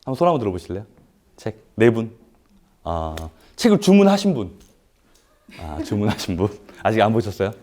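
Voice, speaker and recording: Animated man lecturing with a throat cold. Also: lowish voice, brisk, pauses often. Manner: animated, with rhetorical questions and frequent "uh" fillers. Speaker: lecturer at a paid business seminar. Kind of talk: live seminar lecture to an audience. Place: indoor lecture room with an audience.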